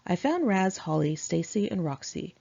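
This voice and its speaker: A woman's voice: fairly low pitch, big pitch swings, normal speed, vocal fry, warm tone, breathy edge. A woman reading her own memoir aloud in a candid, chatty, sassy conversational style, on a dull lo-fi home recording.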